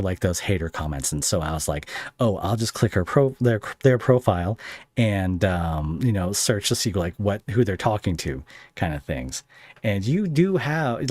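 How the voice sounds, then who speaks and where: A man's voice: lowish voice, a little mellow, no room sound. A man hosting a podcast, interviewing a guest in a casual, conversational way.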